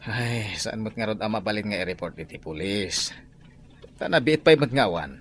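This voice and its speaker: A man's voice: lowish voice, expressive, moderate pace, fairly bright tone, a little hiss or hum. A man playing a stern, worried father in an audio drama, with a Filipino accent. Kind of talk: Ilocano audio drama acting.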